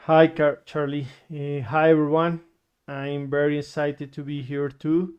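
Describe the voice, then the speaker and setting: Colombian male researcher, slow flat conference delivery, very clean voice, halting. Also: fairly high pitch, clean tone, muffled recording. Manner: halting, many fillers and restarts. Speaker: security researcher. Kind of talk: conference presentation.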